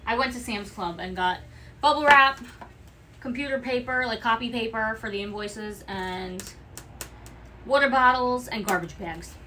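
A woman's voice: on the high side, unhurried, bright, forceful tone, a little hiss or hum. A woman with an Italian-American Bronx accent, chatting casually while packing orders on a livestream and reading out comments. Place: small business office.

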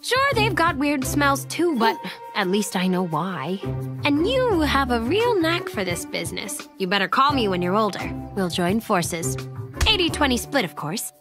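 A woman's voice: on the high side, big pitch swings, a little slow. A woman voicing a scheming, business-minded young cartoon girl with a smug, deal-making manner. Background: music.

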